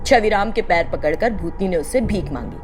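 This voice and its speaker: A woman's voice: medium pitch, animated, a little fast, soft background music. A woman narrator reading a scripted children's tale in a storytelling style.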